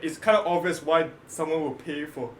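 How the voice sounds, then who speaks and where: A man co-hosting a casual podcast, telling a story excitedly with lots of swearing among friends. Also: a little high, normal speed, roomy sound, slight background noise, rough recording. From raised partly in Singapore. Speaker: podcast co-host. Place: indoor room with friends.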